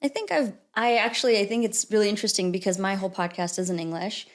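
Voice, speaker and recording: A woman's voice: mid-range voice, fast talker. A woman with an American accent, a podcaster, chatting thoughtfully in a casual conversation.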